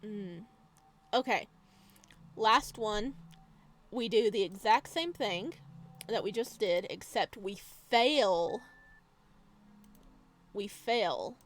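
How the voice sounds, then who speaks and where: A woman doing a video game let's play, reading game text aloud with expressive drama and adding casual asides. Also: medium pitch, extremely slow, pauses often. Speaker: gaming video creator.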